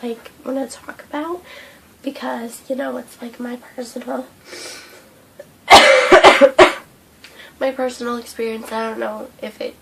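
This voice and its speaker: A young woman vlogging a breakfast mukbang, hoarse and losing her voice from a cold, rambling with lots of fillers. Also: a little high, fairly even intonation, measured pace, long pauses, very noisy. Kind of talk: casual mukbang vlog chat. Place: indoors at home under a hot light.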